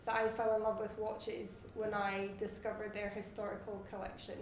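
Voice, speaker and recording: A woman's voice: mid-range voice, little pitch movement, moderate pace, echoey room, a little hiss or hum, poor audio. A Scottish woman in her thirties, a watch designer answering interview questions in long, thoughtful explanations. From Scotland.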